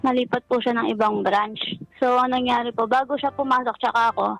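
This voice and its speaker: A woman's voice: a little high, fairly even intonation, a little fast, gravelly, fairly bright tone, lo-fi sound. A woman calling in to a radio advice show, telling her personal story in a polite, deferential way. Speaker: caller seeking relationship advice. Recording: music playing behind the voice. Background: background music.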